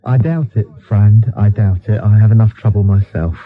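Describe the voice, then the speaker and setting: A man's voice: lowish voice, moderate pace, phone-quality sound, faint music behind. A man hosting a live radio phone-in in a dry, wry, instructive way. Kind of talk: live talk radio chat. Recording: thin, band-limited radio recording.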